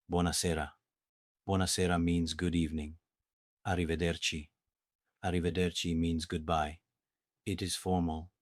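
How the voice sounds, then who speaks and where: Low, flat-voiced Italian man teaching. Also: dry recording, studio quality. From Italy. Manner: calm, measured, patient teacher delivery. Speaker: language teacher. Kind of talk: slow, clear beginner language lesson.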